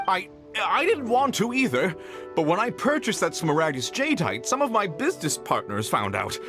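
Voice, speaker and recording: An actor playing an anxious, self-pitying merchant in a video game, stammering and pleading. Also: male voice, high voice, big pitch swings, moderate pace, music in the background. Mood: distraught. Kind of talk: video game character acting.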